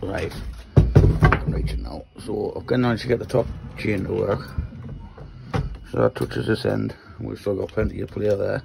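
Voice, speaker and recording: A man from Newcastle with a Geordie accent and a croaky voice, casually talking through his workshop project while filming on a handheld phone in a small shed. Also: lowish voice, fairly even intonation, measured pace, very noisy. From Newcastle, England. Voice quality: croaky, gravelly voice. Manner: rambling, explains while handling parts. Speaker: maker vlogger.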